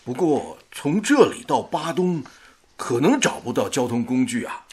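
An actor playing an obliging, down-to-earth riverboat captain in a radio drama, courteous and plain-spoken. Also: male voice, medium pitch, sing-song, a little slow, husky. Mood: helpful. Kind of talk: Mandarin radio drama acting.

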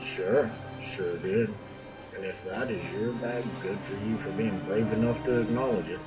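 Dry, lowish male asylum orderly played by a man. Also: steady tone, normal speed, loud music behind the voice, close to an everyday voice, low-quality recording. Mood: teasing. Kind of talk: audio drama acting.

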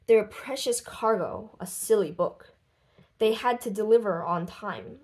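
A woman reading a children's book aloud expressively, with deliberate, dramatic phrasing and lifted voices for dialogue. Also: mid-range voice, a little mellow. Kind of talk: expressive storybook read-aloud.

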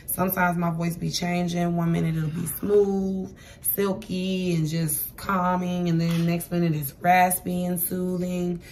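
A hoarse, raspy woman giving a casual tarot reading at a table, chatty and repeating "okay?" to her viewers. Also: fairly low pitch, level tone, measured pace, few pauses, a little mellow, far from the mic, a little hiss or hum. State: hoarse, voice going for days. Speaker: tarot reader. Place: indoors at a table with cards and crystals. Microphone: camera across the table.

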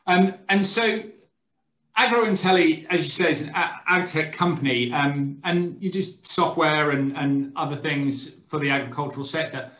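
A man's voice: fairly high pitch, moderate pace, a bit bright, echoey room, low-quality recording. A man in his thirties hosting a podcast interview over a video call, casual with many fillers. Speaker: podcast host. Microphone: webcam on video call.

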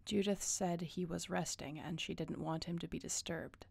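A woman's voice: on the low side, steady tone, normal speed, creaky, darkish tone, a little hiss or hum. A woman playing a cool, dutiful werewolf enforcer in an audio drama, curt and controlled. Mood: businesslike. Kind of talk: audio roleplay drama acting.

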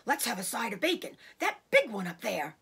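A woman voicing an excitable, hungry male badger in a storybook, eager and exclamatory. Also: mid-range voice, moderate pace, husky. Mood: excited.

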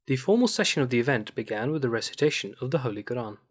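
A man narrating a scripted news report as a studio voice-over, in an even, measured way. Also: mid-range voice, husky.

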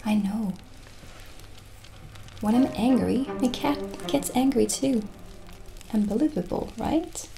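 Casting: woman to play gentle witch potion-seller for ASMR roleplay; hushed, whispery, creaky low-volume delivery at a very slow pace. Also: low-quality recording. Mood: calm, chatty. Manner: hushed, unhurried, often dropping into whispers. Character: gentle, chatty witch shopkeeper selling potions. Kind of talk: improvised ASMR roleplay, soft-spoken and whispered. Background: original music.

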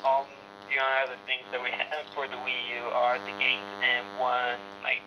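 A man's voice: medium pitch, completely flat delivery, moderate pace. A man speaking as a store employee in customer service style over a telephone. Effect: telephone line. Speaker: toy store employee on the phone. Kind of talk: customer service phone talk. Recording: phone line with clear hum and noise.

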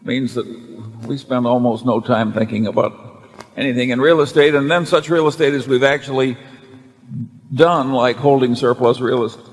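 A man's voice: mid-range voice, fairly even intonation, moderate pace. An older man on stage at a table giving a blunt, matter-of-fact answer. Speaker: company vice chairman. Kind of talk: unscripted answer at shareholder meeting. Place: large hall on stage at table. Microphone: table mic. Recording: long hall reverb.

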